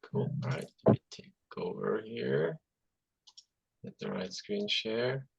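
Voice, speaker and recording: A man in his forties whose first language is Russian, born in Azerbaijan, giving a relaxed, chatty talk as a guest software engineer over a video call, with many fillers and restarts. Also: fairly low pitch, takes it slowly, creaky, dull audio, a little hiss or hum. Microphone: computer mic on video call.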